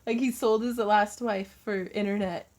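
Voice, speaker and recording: A woman's voice: medium pitch, fairly even intonation, moderate pace, darkish tone. A woman hosting a casual craft livestream, chatty and laughing often.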